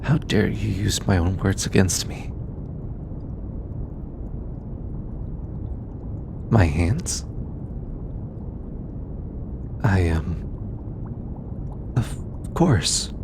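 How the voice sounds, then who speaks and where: Audio roleplay: anxious alien researcher, male actor, on the low side, slow and halting, earnest and self-critical, over clear background noise. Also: expressive, full character voice. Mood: flustered, shy. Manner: hesitant, halting, stammering, sighing. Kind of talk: scripted audio roleplay, intimate one-sided dialogue.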